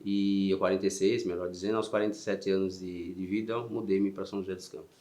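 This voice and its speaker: Soft-spoken São Paulo man, low voice. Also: flat delivery. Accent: São Paulo Portuguese. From São Paulo, Brazil. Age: 50s. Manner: soft-spoken and halting, with frequent "né" tags and restarts. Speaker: interview guest, Paralympic swimmer. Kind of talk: conversational interview answers.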